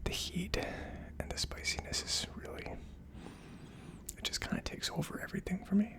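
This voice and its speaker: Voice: medium pitch, normal speed, creaky. An ASMR host of unclear sex speaks entirely in a whisper. The delivery is casual and unscripted, like someone thinking aloud. It comes across as tentative and reflective. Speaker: host of a whiskey tasting series.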